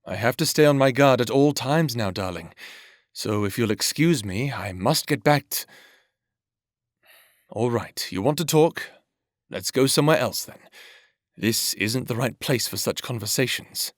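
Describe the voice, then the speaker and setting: A man voicing a menacing, scheming male necromancer in a roleplay audio drama, speaking in a calm, threatening, theatrical way. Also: mid-range voice, a little slow, no echo, studio quality, lightly acted. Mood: flustered.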